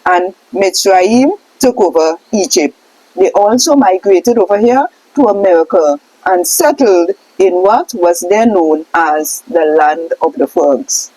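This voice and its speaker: A woman reading a scripted question-and-answer lesson aloud, setting out names and lists deliberately. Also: fairly low pitch, animated, mellow, no room sound, rough recording. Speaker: reader of a scroll text.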